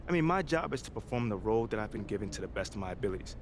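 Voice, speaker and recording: A man's voice: on the low side, animated, very fast, background noise. A man voicing a young, easygoing rookie basketball player in a video game, talking casually. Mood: composed. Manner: casual, laid-back, agreeable. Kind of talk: video game cutscene acting.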